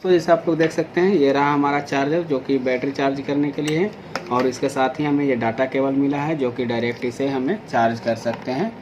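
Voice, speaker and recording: A man's voice: medium pitch, fairly even intonation, fairly quick, over music. An Indian man hosting an informal product unboxing, explaining things step by step in a repetitive way. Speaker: product reviewer and video host. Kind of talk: unboxing demonstration narration. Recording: clearly noisy amateur recording.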